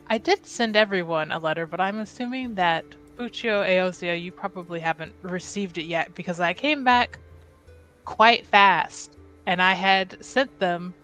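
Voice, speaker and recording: A woman voicing her tabletop character at an unhurried, deliberate pace, with a mid-range voice. She pauses often between phrases. Soft music plays behind her. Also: mid-range voice, poor audio. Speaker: tabletop game player. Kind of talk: in-character roleplay.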